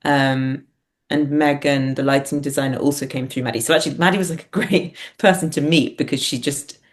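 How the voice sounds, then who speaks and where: A woman's voice: very deep voice, steady tone, fairly quick. A woman in a casual interview, speaking informally and hesitantly as a guest, often dropping in "like". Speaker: interview guest, theatre writer and performer.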